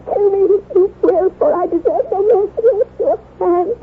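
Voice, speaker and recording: Frail, high-voiced guilt-stricken wife. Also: female voice, moderate pace. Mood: tormented confession. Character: frail, guilt-ridden young wife on her deathbed. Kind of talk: old-time radio drama acting. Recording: tinny, noisy vintage radio recording.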